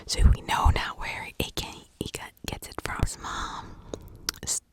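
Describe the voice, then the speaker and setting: A woman whispering in a breathy, unvoiced ASMR style, telling a story informally with conversational asides. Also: measured pace. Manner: conversational, with rhetorical asides and check-ins. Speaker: ASMR true crime storyteller.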